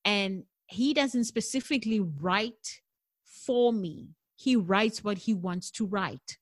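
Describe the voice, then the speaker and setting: A woman's voice: medium pitch, slow, no room sound, clean studio sound. A middle-aged woman from South Africa whose first language is not English, speaking candidly and thoughtfully in an interview as a jazz singer. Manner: thoughtful, candid, laughs easily. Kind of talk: conversational interview.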